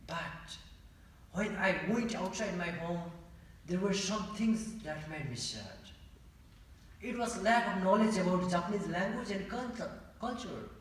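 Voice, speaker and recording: A young man from Nepal with a Nepali accent gives a rehearsed contest speech in a deliberate, formal manner. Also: high voice, a bit bright. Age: young adult. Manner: deliberate, formal, rhetorical pauses and questions. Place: large indoor auditorium. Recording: echoey auditorium, distant from mic.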